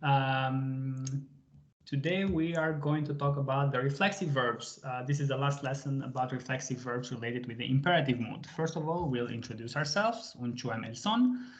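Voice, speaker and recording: A man's voice: mid-range voice, moderate pace. Patient man teaching over a video call. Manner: patient, switches between English and Albanian, frequent fillers. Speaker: language teacher. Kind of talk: live online group lesson. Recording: slightly muffled video call audio.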